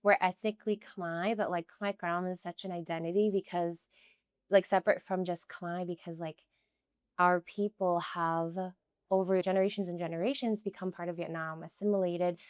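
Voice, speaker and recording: Young woman, smooth low-pitched voice. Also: fairly even intonation, normal speed, dry recording, clean studio sound. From United States. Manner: chatty, many "like" fillers, earnest. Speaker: guest. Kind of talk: casual conversational interview.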